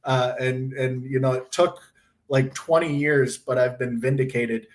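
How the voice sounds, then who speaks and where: Hoarse, rambling man on a livestream. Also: mid-range voice, flat delivery, measured pace. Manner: rambling, blunt, swears freely. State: losing his voice, sore throat. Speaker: livestream host. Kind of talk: casual livestream chat.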